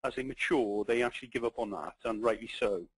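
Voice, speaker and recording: A man's voice: medium pitch, breathy edge, no echo, poor audio. Welsh guy, middle-aged, lots of ums, talking business on a webinar, dead dry sound. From Wales. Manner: halting, many ums and restarts. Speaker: webinar presenter, consultant.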